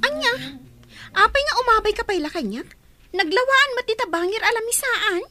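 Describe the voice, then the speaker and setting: A woman's voice: very high-pitched, animated, normal speed, pushed and bright, full character voice. A woman playing a spoiled, resentful, disdainful schoolgirl daughter in an Ilocano radio drama. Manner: snappish, disdainful.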